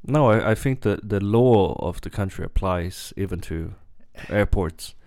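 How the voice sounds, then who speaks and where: A man talks in a relaxed chat setting. His voice is smooth and a little low, recorded very dry. He often restarts and repeats words as he makes his point. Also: unhurried, dry recording. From southern Sweden. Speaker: podcast participant. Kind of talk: casual chat.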